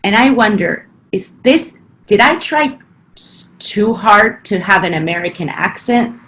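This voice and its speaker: Lively woman with a slight Argentine accent. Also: medium pitch, poor audio. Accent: slight Argentine Spanish. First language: Spanish. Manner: animated, quick, acts out her own past thoughts in quotes. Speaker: podcast guest. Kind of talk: casual interview chat.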